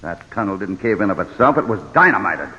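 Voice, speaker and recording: An actor playing a steady, resolute American cowboy hero in a vintage radio western, speaking with firm, deliberate authority. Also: male voice, lowish voice, slight background noise. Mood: grave, calculating. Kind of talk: 1940s-50s radio western acting. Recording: old radio recording, reverberant and muffled.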